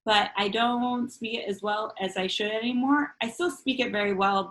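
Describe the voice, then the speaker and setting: A woman whose first language is Spanish, reading her own poems in a measured, earnest way over a video call from home, with casual asides between pieces. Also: mid-range voice, a bit bright, rough recording. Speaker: featured poet. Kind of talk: reading her own poetry at an online open mic.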